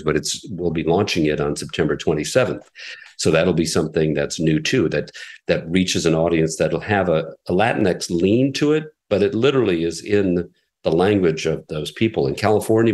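A middle-aged man, a radio executive, answering interview questions in a relaxed, explanatory way, often repeating words as he thinks. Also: low voice, moderate pace. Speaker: radio station president, guest.

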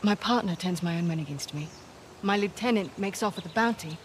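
A woman's voice: lowish voice, brisk, a little hiss or hum, natural voice. An actress playing a tough, guarded, no-nonsense woman mercenary leader in a video game. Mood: bitter, guarded. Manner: blunt, terse, guarded. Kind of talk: cinematic video game acting.

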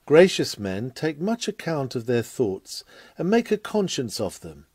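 A man reads a scripted sermon aloud in a measured, formal and emphatic oratorical manner. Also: medium pitch, sing-song, a little mellow, husky, dry recording, clean studio sound. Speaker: reader of a sermon. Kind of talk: expressive sermon reading, scripted narration.

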